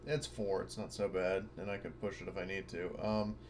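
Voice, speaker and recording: A man with a fairly low voice talks casually as a player in a tabletop roleplaying game. There is steady noise behind him. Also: fairly quick.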